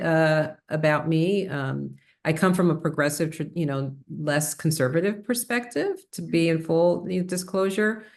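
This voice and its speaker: Middle-aged woman, low voice, light New York-area accent; reflective interview guest, pauses and restarts. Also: creaky, darkish tone. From Puerto Rico, raised New Jersey and New York. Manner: thoughtful, many fillers and restarts. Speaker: psychiatrist guest. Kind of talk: conversational interview.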